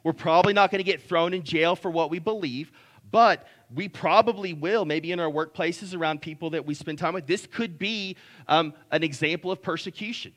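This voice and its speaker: A man's voice: moderate pace, fairly bright tone. A man, preaching a conversational sermon that is full of restarts and "right?" checks. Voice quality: deep voice. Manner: conversational, repeats words, frequent "right?". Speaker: pastor.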